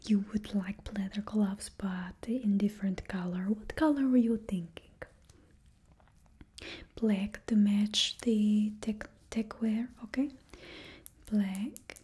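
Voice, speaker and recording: Young woman, faked Russian accent, soft close whisper, very airy and mellow, unhurried. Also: mid-range voice, fairly even intonation, breathy edge. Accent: put-on Russian. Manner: close, slow whispering with drawn-out words. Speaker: ASMR roleplay stylist. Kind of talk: ASMR whispered roleplay. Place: indoors before a studio backdrop.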